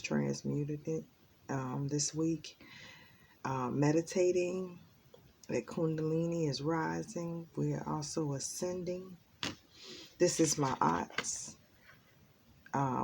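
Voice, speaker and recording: A woman giving a casual spiritual tarot reading in a raspy, just-woken voice, rambling and earnest with many ums. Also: low voice, mellow, a little hiss or hum. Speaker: tarot reader.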